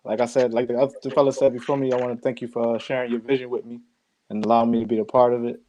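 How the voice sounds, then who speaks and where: A man introducing himself as a father and mentor on a casual video call, quick and modest. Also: medium pitch, little pitch movement, mellow, rough recording. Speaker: guest mentor.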